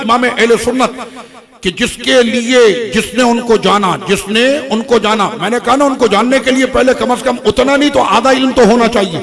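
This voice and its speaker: A man's voice: high voice, vocal fry, a bit bright. A man preaching an impassioned sermon, stacking repeated phrases as he builds to his points. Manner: impassioned, repetitive rhetorical build-ups. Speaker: Islamic preacher.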